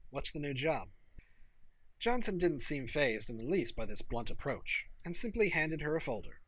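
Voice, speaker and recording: A man's voice: a little high, sing-song, normal speed, a bit bright, a little hiss or hum. A man narrating scripted fiction as an audiobook reader.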